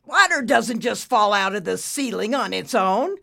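An arrogant bank boss played by a woman barks out his lines with loud, demanding force. His pitch jumps around as he bullies and dismisses people, in the manner of an audiobook villain. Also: very animated, a little slow. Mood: angry, shouting. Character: rude, bullying, arrogant male bank boss. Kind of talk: audiobook character voice.

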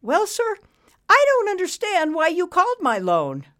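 A woman's voice: wildly swooping pitch, a little slow, fairly bright tone, heavily acted. A woman voicing a meek, anxious small businessman in an audiobook, pleading hesitantly. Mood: pleading, worried. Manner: pleading, hesitant, high-strung. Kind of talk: audiobook character voice.

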